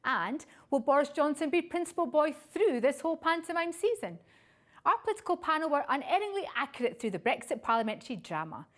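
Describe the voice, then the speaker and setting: A woman's voice: high-pitched, sing-song, brisk, a bit bright. A woman presenter reading a scripted introduction for a political programme.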